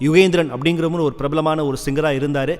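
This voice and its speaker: A man's voice: fairly high pitch, steady tone, fast, a little mellow. A man hosting a radio show, speaking fluently and conversationally with English words mixed in. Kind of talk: radio presenting between classic songs. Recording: long reverb on the voice.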